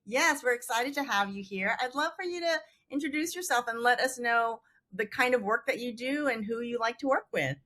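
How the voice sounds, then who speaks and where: A woman's voice: fairly high pitch, moderate pace, bright, forceful tone, no echo. A woman hosting a negotiation podcast, asking questions in a chatty way. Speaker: podcast host.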